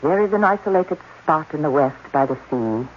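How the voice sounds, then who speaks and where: A man's voice: on the high side, animated, moderate pace, close to an everyday voice. A man playing a cunning, impassioned scientist in a radio drama, speaking with intense, persuasive insistence. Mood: quietly coercive. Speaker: actor. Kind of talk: 1980s radio drama acting. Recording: dull, noisy old radio recording.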